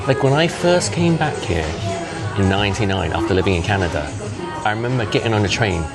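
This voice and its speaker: A man's voice: lowish voice, a little fast, over loud music. A man in his fifties whose first language is Cantonese, chatting casually to camera and often acting out other people's voices. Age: 50s. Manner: chatty, animated, often acts out quoted voices. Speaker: vlogger.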